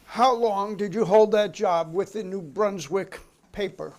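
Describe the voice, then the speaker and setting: A man's voice: sits high, unhurried, a little mellow. A man playing a formal, insistent committee counsel who questions a witness courteously in a dramatic reading. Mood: formal, probing. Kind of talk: dramatic reading of a hearing transcript.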